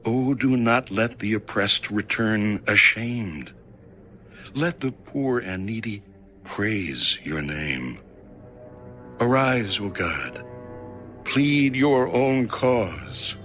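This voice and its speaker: A man reading scripture aloud in a solemn, formal, measured and dramatic style, as an audio Bible narrator. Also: fairly low pitch, lively intonation, pushed and bright. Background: background music.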